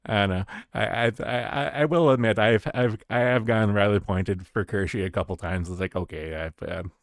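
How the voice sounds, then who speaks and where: A man's voice: on the low side, moderate pace, dry recording, clean studio sound. A man from Canada chatting casually as a stream guest, stammering and repeating words, often trailing off with "and stuff". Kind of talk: casual chat.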